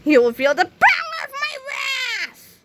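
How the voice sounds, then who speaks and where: A woman voicing a bombastic, bullying boy supervillain in a children's storybook, pompous and commanding with drawn-out shouts. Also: on the high side, lively intonation, background noise, close to an everyday voice. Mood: commanding, wrathful.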